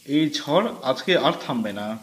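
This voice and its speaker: Eerie, insistent woman stranger, read by a man, high and animated, in a reverberant room. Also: measured pace. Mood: eerie insistence. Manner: calm, unsettlingly persistent. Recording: roomy with faint music.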